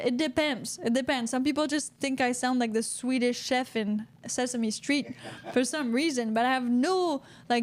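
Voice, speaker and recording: A woman, co-hosting a casual podcast, chatty and quick to laugh while reading out viewer comments. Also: high voice. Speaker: podcast co-host. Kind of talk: casual livestream podcast chat. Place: Vancouver, Canada.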